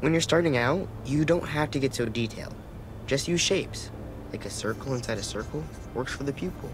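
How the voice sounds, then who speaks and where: A man playing a soft-spoken, sad and earnest young boy artist in a dramatic video game, speaking haltingly. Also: medium pitch, natural voice. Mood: gentle, encouraging. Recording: clear ambient noise behind.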